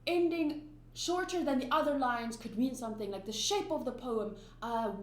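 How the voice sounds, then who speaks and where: A woman's voice: sits high, normal speed. Rambling woman in a roomy space. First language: Afrikaans. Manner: rambling, emphatic, many restarts and fillers. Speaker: anime reaction YouTuber. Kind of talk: casual reaction commentary. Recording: roomy echo with faint hum.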